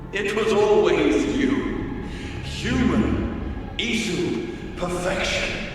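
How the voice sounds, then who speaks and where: An actor playing a scheming, menacing immortal male being in a video game cutscene, speaking slowly and temptingly. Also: high-pitched. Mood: triumphant. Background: game score music.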